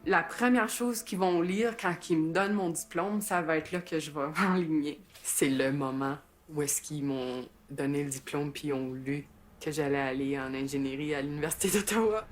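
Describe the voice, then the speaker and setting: A woman's voice: low-pitched, moderate pace, slight background noise. A middle-aged woman from Northern Ontario, chatting in a documentary interview and laughing often. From Sudbury, Northern Ontario, Canada. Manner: chatty, laughs often, uses many fillers. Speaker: mountaineer interviewee. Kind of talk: documentary interview, casual recollection.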